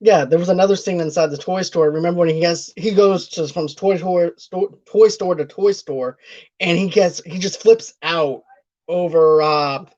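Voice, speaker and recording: Man host, slightly high voice, rambling casual film recap, muffled lo-fi audio. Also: normal speed, a little mellow. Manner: rambling retelling with many restarts. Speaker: movie review podcast host. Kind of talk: casual chat.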